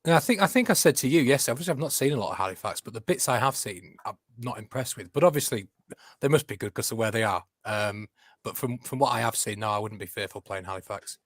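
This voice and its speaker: A man's voice: medium pitch, animated, fast, dry recording, studio quality. A man with a Yorkshire accent chatting casually on a football podcast, matter-of-fact in tone. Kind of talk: casual chat.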